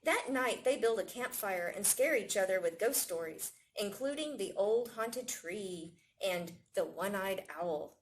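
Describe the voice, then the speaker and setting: A woman reading a picture book aloud to young children in an expressive storytelling manner. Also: mid-range voice, measured pace, hardly any pauses, slight background noise, poor audio. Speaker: library staff storytime reader.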